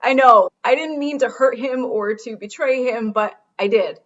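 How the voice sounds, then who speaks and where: A woman's voice: on the high side, normal speed, highs cut off, natural voice. A woman playing a wry, regretful radio show host in a conversational comedy radio drama. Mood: defensive, regretful.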